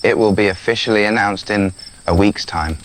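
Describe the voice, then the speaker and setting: A man's voice: fairly low pitch, normal speed, slight background noise, close to an everyday voice, poor audio. A man with a British accent playing a restless, rebellious young prince in an intimate, sardonic audio roleplay. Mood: bitter, despairing. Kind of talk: scripted audio roleplay addressed to the listener.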